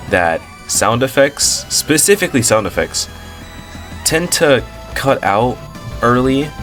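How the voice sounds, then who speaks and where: A man's voice: low voice, a bit bright. A man hosting a commentary video, talking in a casual, rambling way with frequent fillers and self-corrections. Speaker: commentary channel host.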